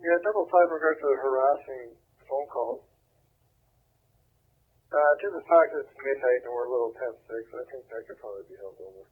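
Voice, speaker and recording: A man's voice: mid-range voice, fairly quick, husky, narrow, tinny audio. A male police officer talking casually to dispatch over a two-way radio picked up by a scanner. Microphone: radio transmission picked up by scanner.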